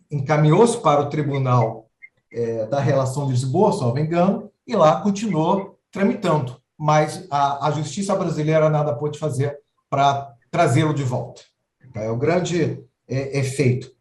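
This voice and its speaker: A man from Brazil with a Brazilian Portuguese accent, giving an explanatory legal lecture and pausing often on drawn-out fillers. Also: a little high, a little mellow, reverberant, distant mic. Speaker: lecturer on citizenship law.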